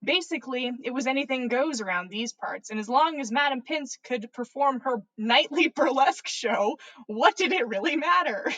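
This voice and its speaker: A woman's voice: sits high, expressive, moderate pace, few pauses, fairly bright tone. A woman reading fan fiction aloud as a podcast host, narrating with playful, theatrical emphasis. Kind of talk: dramatic story narration.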